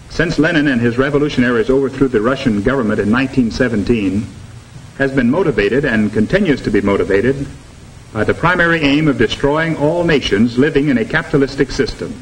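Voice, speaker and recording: An old 1950s film soundtrack with faint noise carries an actor as an earnest American instructor. He is a man with a medium-pitched voice, lecturing in a measured, didactic way on a propaganda film. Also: close to an everyday voice, low-quality recording. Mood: stern, didactic. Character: earnest male anti-communist instructor lecturing students. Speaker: instructor presenting a lesson.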